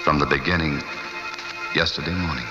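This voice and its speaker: A dogged, cynical Chicago reporter in a 1940s radio drama. His voice is extremely low and his lines are clipped and world-weary. Music swells under a dull vintage recording. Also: brisk. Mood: feverish, haunted. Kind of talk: 1940s radio noir acting.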